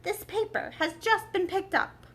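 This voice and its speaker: A woman voicing a nervous, deferential male rabbit from a storybook, fussy and hurried in manner. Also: very high-pitched, fairly bright tone, pitched above the performer's own voice, exaggerated voice. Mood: eager, flustered. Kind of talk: storybook character read-aloud.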